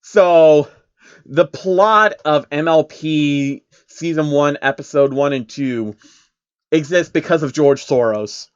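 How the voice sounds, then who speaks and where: A man's voice: on the high side, takes it slowly, clean tone, no echo, muffled recording. A man reading fan fiction as narrator, theatrical, with amused asides. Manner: animated, theatrical.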